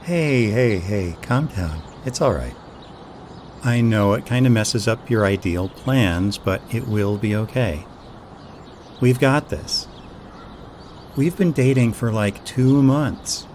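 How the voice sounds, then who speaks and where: A man acting as a gentle, reassuring young boyfriend in an intimate audio roleplay, speaking in a soothing, affectionate way. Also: on the low side, lively intonation, takes it slowly, a little hiss or hum.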